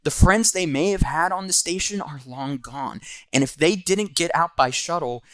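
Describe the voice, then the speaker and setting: A man's voice: on the high side, normal speed, a bit bright, no echo. A man hosting and narrating a scripted comic book review.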